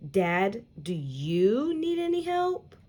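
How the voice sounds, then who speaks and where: An earnest and particular young schoolgirl from a picture book, acted out by a woman reading aloud. She speaks her lines emphatically and expressively, with pitch that moves about freely. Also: slow, full character voice. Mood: curious. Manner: emphatic, expressive storybook dialogue.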